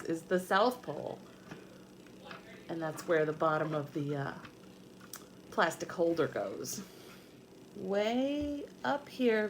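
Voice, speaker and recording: Slow-paced woman teaching young children. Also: medium pitch, a little hiss or hum, poor audio. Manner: simple, patient explaining with repetition and questions to children. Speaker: teacher reading a picture book aloud to young children. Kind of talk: read-aloud lesson with explanatory asides for children.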